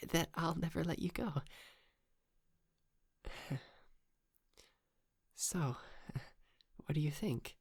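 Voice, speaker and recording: A man playing a shy, polite, lovestruck yandere kidnapper in an intimate ASMR roleplay, stammering, hesitant and softly doting. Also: a little high, vocal fry, dry recording, clean studio sound, heavily acted.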